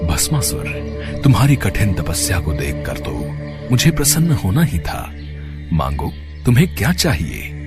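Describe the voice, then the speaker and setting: A man's voice: sits low, wildly swooping pitch, normal speed, vocal fry, loud music behind the voice, exaggerated voice. A man voicing a mighty, solemn god in a devotional story, with a grand, authoritative manner. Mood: stern, majestic. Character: mighty, solemn male god granting boons.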